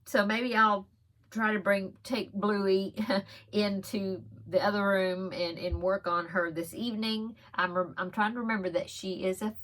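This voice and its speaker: A woman talks through her crochet projects in a relaxed, rambling way, full of ums and little giggles. One side of her nose is stuffed up, which gives her voice a slightly blocked sound. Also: mid-range voice, measured pace. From Texas. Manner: chatty, giggly, rambling with frequent fillers. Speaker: crochet podcast host. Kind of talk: casual show-and-tell chat.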